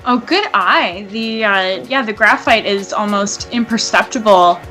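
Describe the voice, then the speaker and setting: A woman with a bright, forceful voice commentates live on artists at work, upbeat and chatty. Her voice sits in a middle range. Light music plays faintly beneath her. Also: mid-range voice, a little slow, poor audio. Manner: upbeat, chatty, many fillers. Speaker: co-host and commentator.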